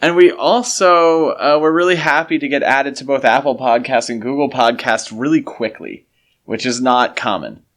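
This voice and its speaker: A man's voice: mid-range voice, normal speed, a bit bright. A man co-hosting a podcast, talking casually in a conversational discussion. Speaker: podcast co-host.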